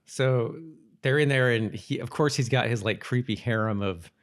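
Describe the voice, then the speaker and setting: Man co-hosting a casual podcast, mid-pitched. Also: moderate pace. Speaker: podcast co-host. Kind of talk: casual chat about a TV episode.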